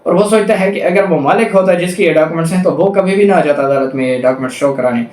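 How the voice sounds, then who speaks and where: A man's voice: a little high, a little mellow. A man with a Pakistani accent giving a law lecture, reading out statutes and explaining them. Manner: slow, deliberate English reading, quicker Urdu explanations with English legal terms. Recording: echoey room, distant microphone.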